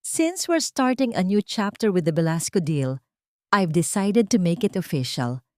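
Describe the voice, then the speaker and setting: A woman's voice: lowish voice, lively intonation, unhurried, no room sound, studio quality. A woman with a Filipino accent voicing a proud, callous billionaire husband in an audio story, formal and self-satisfied.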